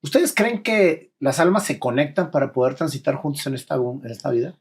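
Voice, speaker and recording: A man's voice: on the high side, fairly quick, slightly rough. A male host interviewing a couple, engaged and prompting them with questions. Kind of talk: conversational interview.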